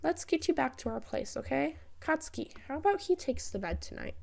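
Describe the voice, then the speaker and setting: A woman's voice: high voice, lively intonation, brisk, vocal fry, a little mellow, voice pushed up. A woman voicing an easygoing, kindly father who speaks reassuringly in a read-aloud story.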